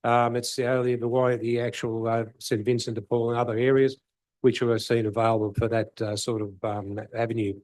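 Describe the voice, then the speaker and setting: A man from Australia, a councillor explaining a committee decision in a rambling, halting way. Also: mid-range voice, flat delivery, a little mellow, dry recording. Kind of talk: council meeting debate.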